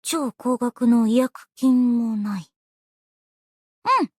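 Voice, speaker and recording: A woman playing an earnest, bubbly, easily flustered young female idol in an anime game, with a cute, expressive, girlish delivery. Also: fairly high pitch, slow, dry recording, studio quality. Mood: careful, relieved. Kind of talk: anime game character voice lines.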